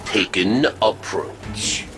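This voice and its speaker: Very deep, menacing machine warden. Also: male voice, animated, drawn-out words, music in the background. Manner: clipped, commanding announcements. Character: menacing machine warden of a blood-sport prison arena. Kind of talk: video game cutscene acting.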